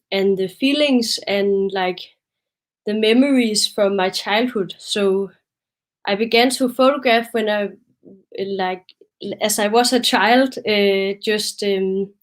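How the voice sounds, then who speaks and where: A woman's voice: mid-range voice, slow pace. A young Danish woman presenting her photo project on a video call, hesitant and a bit nervous, with many fillers. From Denmark. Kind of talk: webinar presentation.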